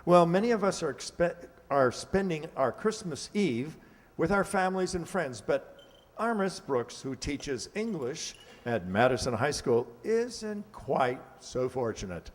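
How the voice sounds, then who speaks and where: A man's voice: on the high side, big pitch swings, unhurried. A man announcing an old-time radio comedy from a script in a formal, presentational way. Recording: very reverberant hall sound.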